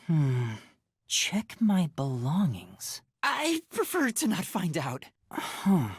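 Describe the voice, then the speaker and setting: Timid, husky-edged amnesiac boy detective. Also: fairly low pitch, big pitch swings, slow pace, heavily acted. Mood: puzzled, pondering. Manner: hesitant, stammering, earnest. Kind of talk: video game voice acting.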